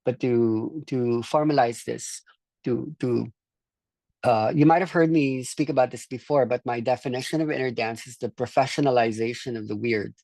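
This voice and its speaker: A course leader from the Philippines speaking reflectively to a group in a halting, thoughtful way, often repeating words. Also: mid-range voice, steady tone, creaky, darkish tone, no echo. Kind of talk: reflective group discussion talk.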